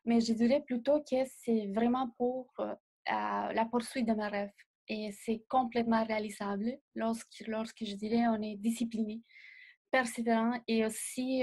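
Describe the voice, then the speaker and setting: A young woman, not a native speaker of the language, answering interview questions as a designer in a measured way and often pausing to find words. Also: fairly high pitch, darkish tone. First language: not French. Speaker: designer guest.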